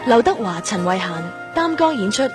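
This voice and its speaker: A woman's voice: mid-range voice, very animated, normal speed. A woman with a Hong Kong Cantonese accent reading a scripted introduction as a radio drama announcer. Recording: studio voice over music.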